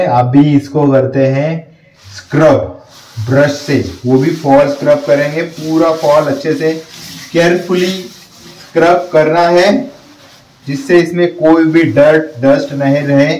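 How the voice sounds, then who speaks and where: A man's voice: fairly high pitch, steady tone, measured pace, darkish tone, roomy sound, slight background noise, rough recording. A man in his thirties narrating a casual step-by-step laundry how-to, talking to viewers as friends in an indoor workshop. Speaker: laundry business owner.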